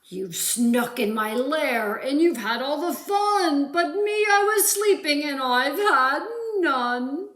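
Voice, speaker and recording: A woman's voice: high voice, animated, slow pace, fairly bright tone, roomy sound, voice pushed up, full character voice. A woman voicing a big sulky male bear from a storybook, complaining in a whimpering, wounded tone. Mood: whiny hurt.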